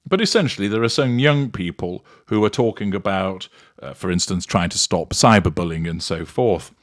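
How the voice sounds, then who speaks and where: Guy doing sarcastic commentary, lowish voice, dry theatrical mockery, no room sound. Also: animated, normal speed, dry recording. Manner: sardonic, mocking, drily theatrical. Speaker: commentary show host.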